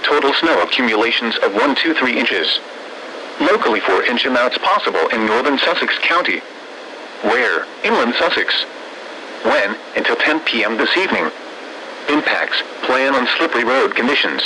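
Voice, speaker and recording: Bright synthetic male weather radio announcer. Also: medium pitch, very animated. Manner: formal, measured, synthetic phrasing. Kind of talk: scripted weather bulletin read-out. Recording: noisy weather radio broadcast.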